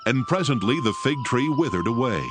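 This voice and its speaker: A man's voice: medium pitch, animated, fairly quick. A man narrating scripture from a script in a formal, dramatic storytelling manner. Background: prominent background music.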